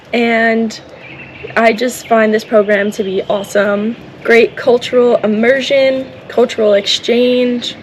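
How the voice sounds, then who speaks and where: A woman's voice: fairly high pitch, a little hiss or hum, rough recording. A woman and native English speaker talking casually in a vlog, upbeat and enthusiastic about a volunteer program. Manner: upbeat, enthusiastic, repeats "awesome". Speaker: vlogger. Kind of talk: casual vlog talk to camera.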